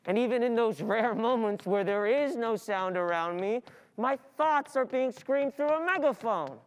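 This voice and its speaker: A young woman playing an overwhelmed, easily distracted student in a theatrical stage monologue, speaking in a halting, confessional way. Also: on the high side, lively intonation, lightly acted. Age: young adult. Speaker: stage actor.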